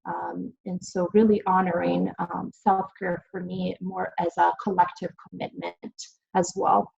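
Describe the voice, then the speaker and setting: Woman with a gravelly voice. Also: lowish voice, flat delivery, measured pace. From Italy. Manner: frequent ums and uhs, gentle pace. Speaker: presenter. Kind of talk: webinar presentation. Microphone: video call. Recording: dull lo-fi video call audio.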